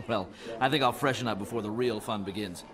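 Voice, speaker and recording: An actor playing a wry, worldly, roguish businessman and gambler in an audio drama, smooth-talking and unhurried. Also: on the low side, lightly acted. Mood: suave, amused. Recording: crowd murmur behind the voice.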